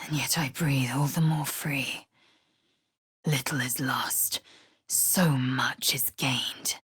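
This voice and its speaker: A woman's voice: very deep voice, fairly even intonation, slow pace, a bit bright, husky, no echo, studio quality, heavily acted. An actress playing a fierce, proud alien warrior woman in a video game, speaking in a clipped, imperious, scornful way. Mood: sultry, low. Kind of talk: video game character voice lines.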